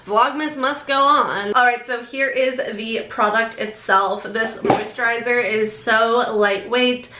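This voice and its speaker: A woman's voice: on the high side, steady tone, roomy sound, noisy background. A young woman in her twenties with a nasal, congested voice, chatting casually and gushing through a product unboxing to camera. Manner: bubbly, gushing, quick asides. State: sore throat, congested. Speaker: beauty vlogger. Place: decorated bedroom, indoors.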